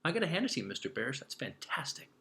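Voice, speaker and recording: A man's voice: medium pitch, sing-song, very fast, vocal fry, bright, forceful tone, breathy edge. A man doing a playful, rhythmic read-aloud of a picture book for children. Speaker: teacher reading a children's book.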